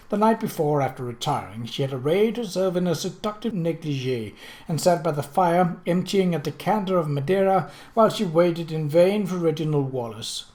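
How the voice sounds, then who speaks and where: A storyteller reads a period mystery novel in a formal, expressive way. The voice sits at a middle pitch, between low and high. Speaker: audiobook reader.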